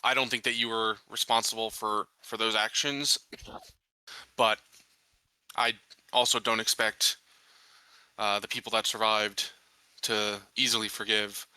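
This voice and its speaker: Colony sheriff, played by a male gamer: calm, firm, plain-spoken, very bright clean voice, measured and hesitant. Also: lowish voice, smooth, no echo. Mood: gentle, firm.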